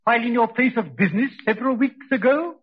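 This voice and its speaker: An actor playing a fussy, officious prosecutor in a 1940s radio courtroom drama. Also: male voice, very high voice, fast, slightly rough. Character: officious, impatient male prosecutor questioning a witness. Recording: tinny vintage radio recording.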